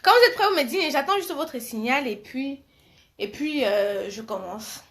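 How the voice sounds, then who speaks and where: A woman's voice: high voice, animated, measured pace, fairly bright tone. A woman hosting a live stream, chatting casually and familiarly with her viewers and often repeating her words.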